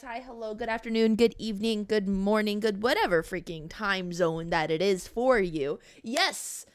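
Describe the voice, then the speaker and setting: Playful woman livestreamer, higher voice, unhurried. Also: a bit bright. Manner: playful, drawn-out emphatic delivery with dramatic exaggeration. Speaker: livestreamer, gaming channel host. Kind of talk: casual livestream chat to viewers. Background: stream music.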